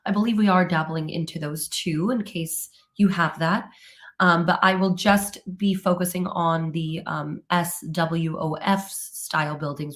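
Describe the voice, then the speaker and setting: A woman hosting a live informational webinar, her voice still hoarse as she recovers from laryngitis, talking in a relaxed way with many ums and uhs. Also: fairly low pitch. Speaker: webinar host, company presenter.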